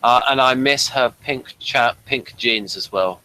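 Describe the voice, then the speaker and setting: A man's voice: medium pitch, measured pace, sharp tone, smooth, low-quality recording. A man hosting a casual review chat, talking conversationally. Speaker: reviewer and host.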